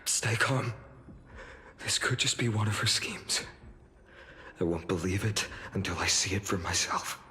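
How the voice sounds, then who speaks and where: An actor playing a righteous, sternly protective young warrior lord in a fantasy game, speaking in an earnest, formal, firm manner. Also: male voice, on the low side, a little slow, long pauses, bright, forceful tone, big-room sound. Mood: grave, controlled. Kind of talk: dramatic fantasy game voice acting.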